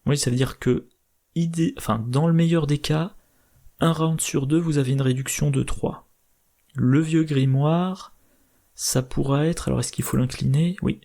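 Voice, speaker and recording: A man with a slightly hoarse, gravelly voice walks through card game strategy in a methodical, explanatory way. He thinks aloud as he goes, with small hesitations and self-corrections. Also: on the high side, fairly even intonation, a little slow, mellow. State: slightly hoarse, irritated throat. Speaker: board game channel host.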